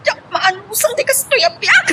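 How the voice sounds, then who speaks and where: A woman's voice: extremely high pitch, very animated, normal speed, music in the background. An actress playing a scornful, bitter woman who nags and confronts her lover in an Ilocano melodrama. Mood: bitter, annoyed. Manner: nagging, confrontational. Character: scornful, bitter female lover named Monica, demanding and fiery. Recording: faint background noise.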